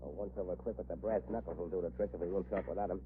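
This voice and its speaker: An actor playing a ruthless, bullying arsonist gang boss in a 1940s radio crime drama. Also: male voice, low-pitched, fairly even intonation, racing, lightly acted. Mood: scheming, wary. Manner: snarling, bullying commands. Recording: scratchy old radio broadcast, muffled, hum.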